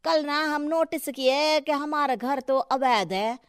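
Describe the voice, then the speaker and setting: A woman's voice: sits high, takes it slowly, no room sound, clean studio sound. A woman voicing an anxious, deferential townsman who pleads for a favour in a Hindi cartoon. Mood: anxious, pleading.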